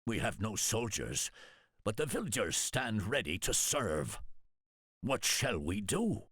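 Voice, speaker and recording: Husky, slow, loyal samurai retainer. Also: male voice, fairly low pitch, big pitch swings, fairly bright tone, no echo, clean studio sound, exaggerated voice. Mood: urgent counsel. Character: Otomo, loyal aging samurai retainer, grave and devoted. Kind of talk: dramatic video game cutscene acting.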